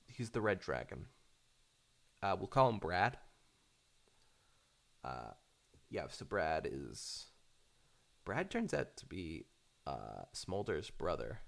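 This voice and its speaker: A man with a low, creaky voice talks off the cuff like a fan channel host. The delivery is halting and repetitive as thoughts form, yet the pitch moves around in a lively way. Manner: hesitant, halting, repeats words as they think aloud. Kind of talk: casual unscripted rambling fan commentary.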